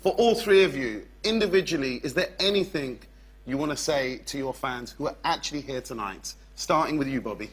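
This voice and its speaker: A man's voice: medium pitch, expressive, a little fast. A man hosting a live on-stage interview in a playful style. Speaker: radio presenter, event host. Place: radio theatre stage.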